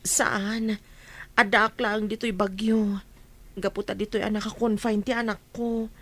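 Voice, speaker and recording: A woman's voice: medium pitch, level tone, a little slow, a bit bright. An actress with a Filipino accent playing a humbled, pleading mother in a radio drama. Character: pleading older female cousin, mother of sick child.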